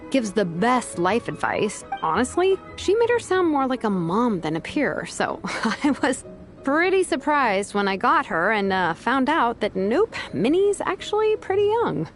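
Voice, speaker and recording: A woman's voice: medium pitch, close to an everyday voice. A woman voicing a laid-back, dryly wry young craftswoman with an older-sister manner in a video game. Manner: dry, understated.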